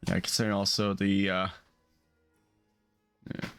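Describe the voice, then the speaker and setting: A man's voice: sits low, level tone, a little slow, a bit bright, clean tone, faint music behind. A man acting as game master, casually improvising rulings and narration for a tabletop game on an online call. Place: online group call, streamed live.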